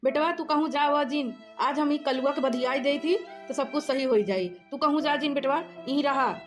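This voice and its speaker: Woman acting a high-pitched scolding Indian village mother. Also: normal speed, faint music behind, natural voice. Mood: indignant, protective. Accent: eastern Uttar Pradesh. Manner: scolding, rustic, sharp-tongued. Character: scolding village mother, exasperated, threatening, fond of nephew. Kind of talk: comic cartoon dialogue.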